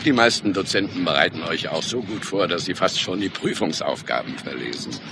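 An actor playing a gruff, cynical hardline police detective in a radio crime drama, with a curt, sardonic manner. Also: male voice, deep voice, lively intonation, a little fast, background noise. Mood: stern.

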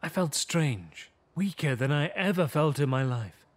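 Frightened young male survivor, played by a man, grave theatrical horror storytelling, somewhat high voice. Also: animated, unhurried. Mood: grave, haunted. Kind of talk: dramatic first-person gothic horror narration.

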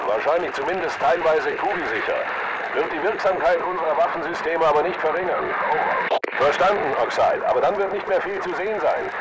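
An actor playing a confident male lookout soldier in a video game, matter-of-fact and businesslike over radio. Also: a little high. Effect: military radio filter. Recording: very noisy military radio, outdoor ambience.

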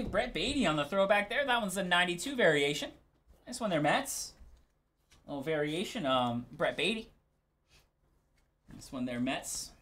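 A man's voice: on the high side, a bit bright. A man hosting a live sports card break, giving casual, laid-back commentary in short clipped call-outs.

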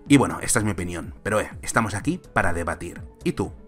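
A man's voice: deep voice, lively intonation, quick pace, a bit bright, soft background music. A man in his thirties from Spain, a film commentator giving an argumentative, sarcastic opinion vlog on a close mic.

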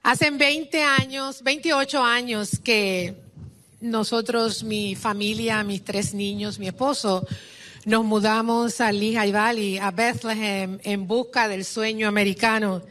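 A woman's voice: on the high side, steady tone, slow pace, fairly bright tone. A middle-aged woman from Puerto Rico with a Puerto Rican Spanish accent, giving an impassioned political speech at an outdoor podium mic. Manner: impassioned, exhorting the crowd. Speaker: rally speaker.

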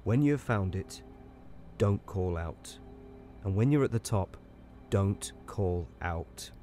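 A grave, uneasy railway signalman from a storybook, put on by a male reader. He talks low and hushed, almost at a whisper, in a deep, dark, mellow voice. He keeps his words measured and careful. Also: animated, faint music behind. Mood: troubled. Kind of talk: audiobook character reading.

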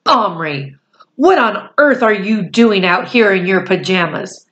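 A woman's voice: medium pitch, animated, measured pace, a bit bright, lo-fi sound. A woman voicing a surprised, scolding father from a storybook, questioning with disapproval. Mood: startled scolding.

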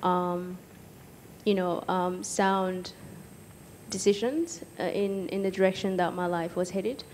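A young woman from Egypt speaking hesitantly as a panellist on stage, pausing often, into a handheld microphone. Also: on the low side, steady tone, darkish tone, slight background noise. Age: young adult. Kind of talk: personal account in a panel discussion. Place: stage in an auditorium with audience.

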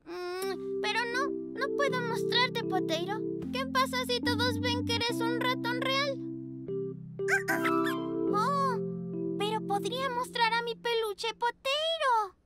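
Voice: very high voice, slow pace. A performer voicing a sweet little pug girl in a children's cartoon, earnest and childlike. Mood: hesitant, tender. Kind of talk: children's cartoon dub acting. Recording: loud music behind the voice.

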